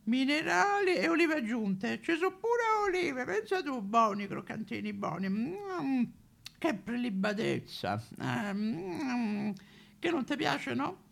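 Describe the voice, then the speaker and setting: An actor playing a boozy, cheeky, lonely homeless tramp of fallen nobility, in a rambling, foul-mouthed, singsong stage monologue with a Roman accent. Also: male voice, very high-pitched, very animated, slow pace, gravelly, a bit bright, full character voice. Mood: sly, confiding. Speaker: stage actor in a solo show. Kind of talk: theatrical comic monologue addressed to listeners.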